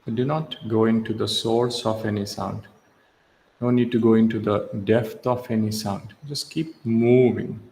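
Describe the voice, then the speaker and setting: Slow, low-voiced man guiding meditation. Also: fairly even intonation, creaky, a little mellow. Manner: measured, short instructive phrases with long pauses. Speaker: yoga nidra instructor. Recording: echoey reverberant room, faint background hiss.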